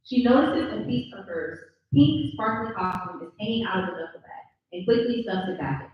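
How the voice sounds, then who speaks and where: A woman's voice: mid-range voice, expressive, fairly quick. A woman reading scripted stage directions as narrator at a live table read. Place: live indoor table read at a mixer event. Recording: slightly dull, very echoey, distant pickup.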